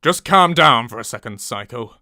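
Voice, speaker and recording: A performer voicing a gruff, blunt male centaur with a Northern English accent for a story. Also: medium pitch, lively intonation, very slow, no room sound, clean studio sound, full character voice. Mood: gruff, exasperated. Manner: gruff, forceful.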